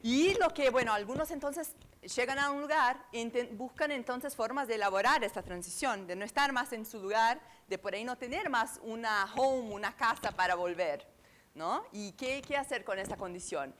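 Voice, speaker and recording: Halting woman lecturing with an Argentine accent. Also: a little high, a bit bright. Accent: Argentine Spanish. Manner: searching, halting, many fillers and restarts; asks the room for agreement with tag questions. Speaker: conference speaker on astrology. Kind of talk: lecture to a live audience.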